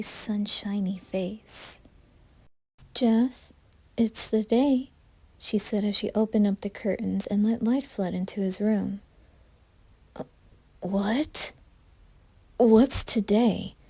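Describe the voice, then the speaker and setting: Mellow woman narrating horror. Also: medium pitch, steady tone, normal speed, hardly any pauses, breathy edge. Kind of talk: scripted narration.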